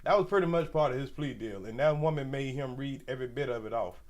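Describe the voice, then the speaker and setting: A man's voice: mid-range voice, quick pace. A man in his forties hosting a casual livestream commentary, slangy and rambling, with a stuffy nose. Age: 40s. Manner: loose, rambling, slangy, talks straight to viewers. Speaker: commentary channel host.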